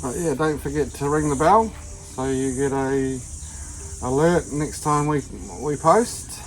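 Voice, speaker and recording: A man with a New Zealand accent, casually chatting about his tortoises in his garden, in a self-described monotone that drifts off topic. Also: on the high side, measured pace, a little hiss or hum, rough recording. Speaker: tortoise keeper and channel host. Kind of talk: casual rambling vlog. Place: outdoor garden with tortoise enclosure.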